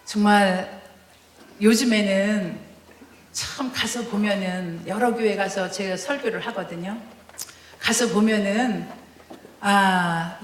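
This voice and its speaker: A woman from Korea with a Chungcheong accent, giving a lively testimony sermon as a guest preacher, full of fillers and laughs. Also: mid-range voice, roomy sound. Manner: animated, laughing, many fillers. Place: church sanctuary.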